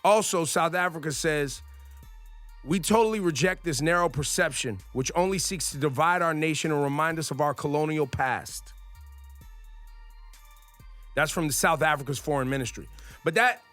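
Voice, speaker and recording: A man's voice: fairly high pitch, normal speed, long pauses, fairly bright tone, faint music behind. A man co-hosting a radio talk show, making earnest points and challenging the others with questions. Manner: earnest, argues by posing questions. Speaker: radio co-host.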